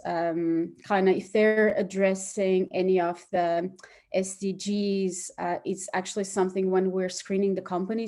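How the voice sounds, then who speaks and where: A woman's voice: lowish voice, a little mellow. A woman from Portugal, answering conversationally as an interview guest. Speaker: interview guest, start-up hub operations chief.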